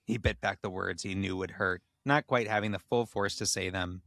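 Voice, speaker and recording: A man with a fairly low voice reads comic fiction as a podcast host. The sound is dry and close, and the delivery slips between narration and casual banter. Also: steady tone, normal speed, vocal fry, fairly bright tone, dry recording.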